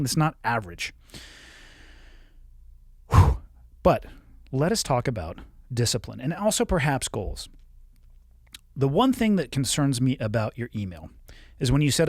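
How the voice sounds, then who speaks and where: A man's voice: medium pitch, dry recording, clean studio sound. A man in his forties hosting a podcast, reading a listener's email and answering with frank, coaching advice. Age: 40s. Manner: frank, coaching, blunt at times. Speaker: podcast host.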